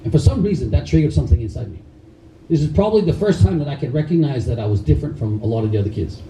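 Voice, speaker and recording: Dark-voiced man in a roomy hall. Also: medium pitch, lively intonation, racing, slight background noise. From Denmark. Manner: loose, rambling delivery with frequent fillers and restarts. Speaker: karate instructor speaking at a camp. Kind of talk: talk to a seated group. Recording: roomy hall sound from a distance.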